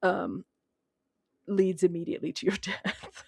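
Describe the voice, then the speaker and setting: A woman talking casually as a guest in a film discussion, telling it in an animated way and acting out imagined remarks. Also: on the low side, measured pace, creaky, breathy edge, no room sound, studio quality.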